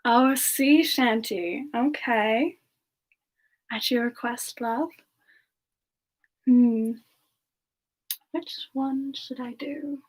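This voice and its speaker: A woman playing a sweet, affectionate young female character in soft semi-ASMR chat, gently answering listeners with endearments. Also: fairly high pitch, drawn-out words, long pauses, low-quality recording. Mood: sweet, shy. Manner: gentle, affectionate, addresses listeners as dear and love. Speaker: streamer answering chat and singing requests.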